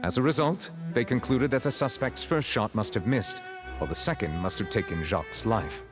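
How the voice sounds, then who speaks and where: An actor playing a stern, formal judge in a video game, speaking in a measured, authoritative manner. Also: male voice, medium pitch, music in the background, natural voice. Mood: solemn, measured. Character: stern, formal male judge presiding over a court. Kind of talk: scripted game dialogue.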